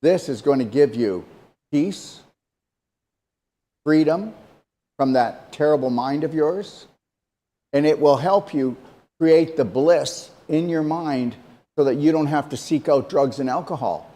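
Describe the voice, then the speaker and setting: A man's voice: a little high, unhurried, pauses often, dark tone. A man co-hosting a casual talk show, speaking emphatically and rambling, often repeating himself. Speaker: co-host.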